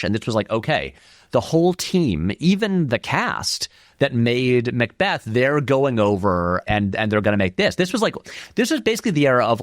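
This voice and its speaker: Male interview guest, casual and discursive explainer; very lively pitch swings, mid-range voice, close dry sound. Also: dry recording. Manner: animated, discursive explaining, frequent "like". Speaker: interview guest, filmmaker and video essayist. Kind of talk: casual film commentary in conversation.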